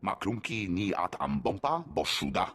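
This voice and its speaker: A man voicing a rough-voiced alien speaking an invented language in a video game. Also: sits low, big pitch swings, normal speed, fairly bright tone, exaggerated voice. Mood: matter-of-fact. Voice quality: husky, rough.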